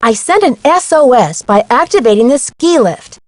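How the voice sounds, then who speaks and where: Young female detective, video game, high lively voice, curious, plucky, dry close recording. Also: moderate pace, no room sound. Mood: casual.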